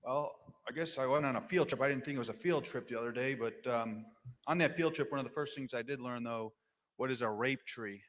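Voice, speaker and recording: A man's voice: mid-range voice, a little fast. Impassioned man speaking in an echoing chamber. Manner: impassioned, rising to loud emphasis. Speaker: state legislator. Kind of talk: floor speech explaining a vote. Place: legislative chamber. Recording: long reverb in a legislative chamber.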